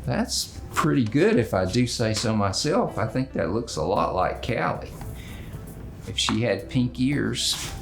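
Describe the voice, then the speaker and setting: Slow, gravelly man demonstrating drawing. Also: mid-range voice, big pitch swings, reverberant, music in the background. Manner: laid-back, musing, unhurried. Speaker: illustrator giving a drawing demonstration. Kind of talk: casual drawing tutorial, thinking aloud.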